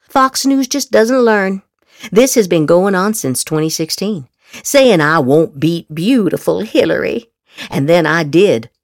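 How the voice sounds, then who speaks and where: A woman's voice: lowish voice, lively intonation, a little slow, no room sound, clean studio sound. Theatrical woman with wildly swooping delivery. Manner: exaggerated, emphatic inflection. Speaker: podcast host. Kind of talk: theatrical dramatic reading of social media posts.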